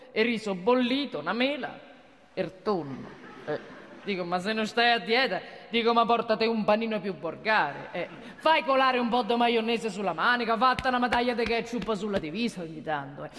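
An actor playing a gruff, earthy night guard with a Roman accent in a rambling comic stage monologue. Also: male voice, high voice, lively intonation, normal speed, bright, forceful tone. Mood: teasing. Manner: colloquial, rambling, rhetorical questions. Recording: echoey hall, noisy stage recording.

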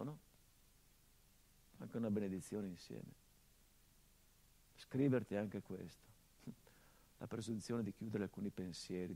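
A man with an Italian accent acting in a radio drama as a grieving grown son, speaking a theatrical monologue that swings from quiet and low to impassioned outbursts. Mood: intimate. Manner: intense, builds to impassioned outbursts then drops low.

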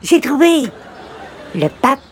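A man's voice: extremely high pitch, very animated, slow, a bit bright, a little hiss or hum, heavily acted. A man playing an overly polite, naive and pious door-to-door visitor, ceremonious and exclamatory, in old-time radio comedy. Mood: eager.

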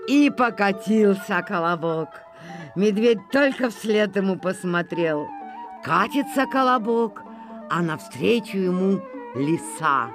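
A narrator telling a folk tale in expressive storybook style. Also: mid-range voice, takes it slowly. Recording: music playing behind.

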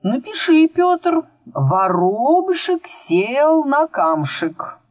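A woman's voice: high voice, big pitch swings, extremely slow, dry recording, pitched above the performer's own voice, full character voice. A woman voicing a fussy, mock-courteous male schoolteacher in a storybook, drawling and mumbling with formal politeness. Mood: teasing, formal.

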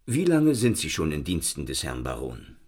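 An actor playing a methodical Paris police inspector in a radio play, questioning a witness in a businesslike way. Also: male voice, a bass voice, huge pitch swings, moderate pace, a little mellow, full character voice.

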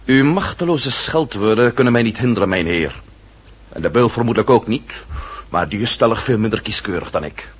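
An actor playing a cool, disdainful French marquis in a costume radio drama, speaking formally and with haughty, measured authority. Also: male voice, lowish voice, expressive, breathy edge. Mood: cool contempt.

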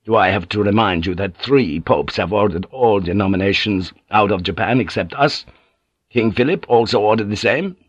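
A man's voice: lowish voice, normal speed, husky, dry recording. A man reading an audiobook and voicing a stern, commanding church leader. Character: stern authoritative older male church leader.

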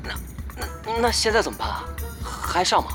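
Hesitant young sidekick, lively intonation. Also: male voice, high-pitched, fairly quick. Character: hesitant, grumbling young male gamer underling. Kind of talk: audio drama acting. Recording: music under the voice.

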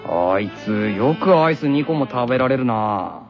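A man's voice: mid-range voice, lively intonation, moderate pace, loud music behind the voice, voice pushed down, lightly acted. A man voicing a breezy, chatty teenage schoolboy with a light, playful manner in an anime radio drama. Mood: bemused, idle. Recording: dull lo-fi radio sound.

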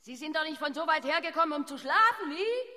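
Sharp, brusque, bossy woman. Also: sits high, animated, fairly quick. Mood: mocking, brusque. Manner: bossy, sarcastic. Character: brusque, mocking woman turning a traveller out. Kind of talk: radio play acting.

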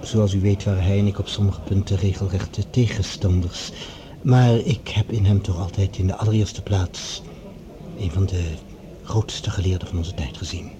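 An actor playing a smooth, calculating male professor who speaks formally and oratorically, in radio drama style. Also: low-pitched, fairly even intonation, normal speed, echoey room, crowd noise, natural voice. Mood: polite condolence.

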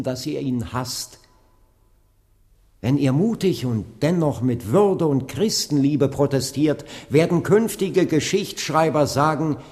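Earnest young male preacher, solemn radio-drama oratory, sweeping intonation, long hall reverb. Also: a little high. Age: 20s. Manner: solemn, rhetorical, deliberate. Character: earnest young Baptist preacher leading a civil rights protest. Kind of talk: radio play acting, swelling into oratory.

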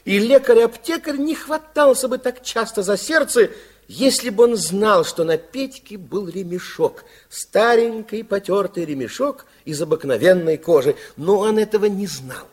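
A man narrating a radio fairy tale in an expressive, wry storytelling manner. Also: high-pitched, moderate pace.

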